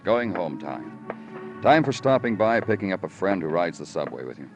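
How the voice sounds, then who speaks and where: A world-weary male detective speaks in a fairly deep voice with very varied intonation. His delivery is deliberate and brooding, in classic crime drama style. Music plays behind him. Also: animated. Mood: brooding, wistful. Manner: poetic, deliberate, terse in dialogue. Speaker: lead actor and narrator. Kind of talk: 1940s-50s radio crime drama narration and acting.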